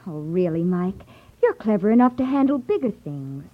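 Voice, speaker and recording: Dull old radio recording, a nagging detective's secretary, swooping intonation, sarcastic, spirited. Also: female voice, medium pitch, sing-song, normal speed. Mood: disapproving. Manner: outspoken, sarcastic. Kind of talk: 1950s radio drama acting.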